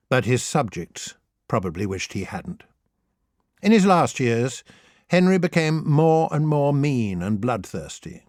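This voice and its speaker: A man's voice: mid-range voice, no echo, clean studio sound. A man reading scripted humorous history as an audiobook narrator, in a wry, theatrical storytelling manner.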